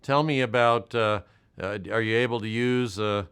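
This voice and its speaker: A man with an American accent and a clear, smooth voice at a middling pitch. He speaks in a measured, courteous way, like a volunteer on a check-in, pausing as he finds his words. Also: fairly even intonation, fairly bright tone. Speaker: volunteer caller doing a welfare check. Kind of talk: gentle check-in questions and spoken case notes.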